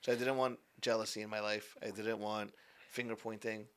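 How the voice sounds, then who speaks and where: A man's voice: fairly low pitch, little pitch movement, brisk, clear, resonant tone. A man in his twenties, a business founder talking as a podcast guest, earnest and rambling with many restarts. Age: 20s. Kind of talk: casual podcast conversation.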